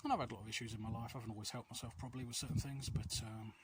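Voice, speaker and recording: Man, noisy outdoor recording, medium pitch, casual confessional vlog, hesitant, rambling, self-deprecating. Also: fairly even intonation, rapid-fire. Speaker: vlog host. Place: outdoors, quiet street and alleys.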